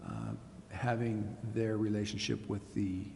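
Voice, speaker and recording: A man on city staff answering questions at a council meeting, halting and full of fillers. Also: fairly low pitch, very animated, vocal fry, a little mellow. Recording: reverberant hall, faint noise.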